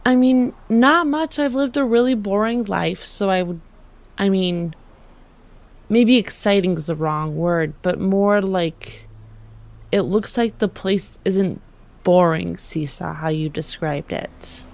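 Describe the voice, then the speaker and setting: A woman's voice: mid-range voice, takes it slowly, darkish tone, no echo. A woman playing a tabletop roleplaying game, improvising casually and thinking aloud as she goes.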